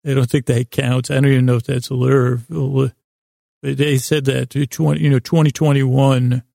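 Creaky-voiced man rambling a bedtime monologue. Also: medium pitch, level tone, no room sound, studio quality. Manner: meandering, halting, repeats and rephrases himself, gently goofy. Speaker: podcast host.

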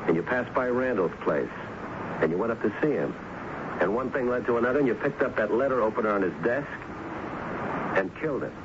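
An actor playing a stubborn police lieutenant in a radio drama, pressing a suspect in a low, probing voice. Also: male voice, expressive, a little fast, pauses often, lightly acted. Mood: accusing. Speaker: detective narrator. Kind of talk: 1970s radio drama acting. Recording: dull old radio broadcast with hiss.

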